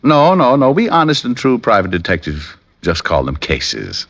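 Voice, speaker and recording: An actor playing a wry, sarcastic, unflappable private detective in an old-time radio drama. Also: male voice, medium pitch, huge pitch swings, normal speed, a bit bright, exaggerated voice. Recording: dull, lo-fi vintage radio recording.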